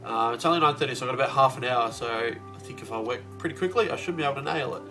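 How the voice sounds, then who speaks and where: A young man vlogging casually about his comic work, relaxed and rambling with lots of fillers, a bit sniffly with his voice slightly off. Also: medium pitch, very fast, faint music behind. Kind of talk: casual video diary.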